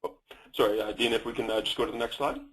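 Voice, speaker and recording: A man's voice: on the low side, fairly even intonation, very fast, fairly bright tone, husky, rough recording. A man with a Canadian accent presenting a company in a webinar, talking with many fillers and restarts.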